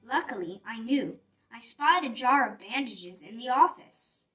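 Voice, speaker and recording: A woman reading a picture book aloud for young children in an animated, deliberate storytelling manner. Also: a little high. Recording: distant mic with music behind. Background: music.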